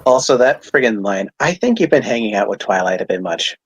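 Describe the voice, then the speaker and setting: A man's voice: lowish voice, animated, fairly quick, slightly rough, no room sound. A man co-hosting a casual fan discussion, talking informally with some mild swearing. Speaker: podcast co-host.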